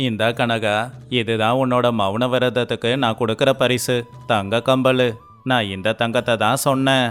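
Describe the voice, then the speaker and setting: Clear-voiced crafty village husband played by a man. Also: medium pitch, steady tone, unhurried, fairly bright tone, close to an everyday voice. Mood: pleased, explaining. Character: clever, lazy, scheming village husband. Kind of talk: acted moral story for children. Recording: faint music underneath.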